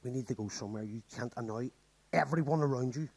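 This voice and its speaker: A man's voice: medium pitch, fast talker, vocal fry, a little mellow, slightly rough. An actor playing an exasperated, sarcastic young man in a radio comedy play. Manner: flustered, sarcastic.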